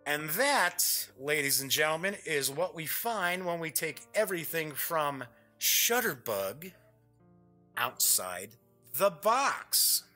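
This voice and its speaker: A man's voice: fairly high pitch, very animated, slow pace, bright, forceful tone, faint music behind. A man hosting a board game show, giving casual unboxing commentary in a chatty way, thinking aloud as he goes.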